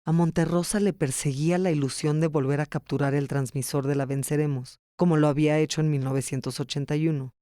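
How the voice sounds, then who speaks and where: A man's voice: on the high side, steady tone, quick pace, hardly any pauses, warm tone, dry recording, studio quality. A man reading scripted documentary narration. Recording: music behind. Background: music.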